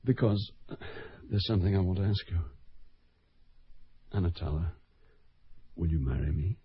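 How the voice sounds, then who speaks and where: An actor playing an earnest, nervous young man in a 1970s radio drama, with a husky voice. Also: low-pitched, moderate pace. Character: young adult male suitor, earnest and nervous. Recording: dull lo-fi radio recording.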